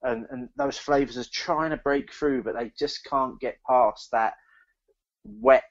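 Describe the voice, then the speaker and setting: A man with a mid-pitched voice chats casually as a podcast host giving a review. He thinks aloud in a halting way, often doubling back on words. The audio is somewhat dull and lo-fi. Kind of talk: casual chat, beer review.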